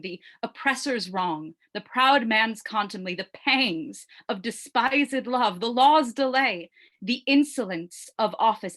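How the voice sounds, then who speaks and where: Casting: brooding young Shakespearean prince played by a woman, slow and deliberate, stage soliloquy with weighty pauses. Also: medium pitch, fairly bright tone. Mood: troubled, pondering. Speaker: actor performing a monologue.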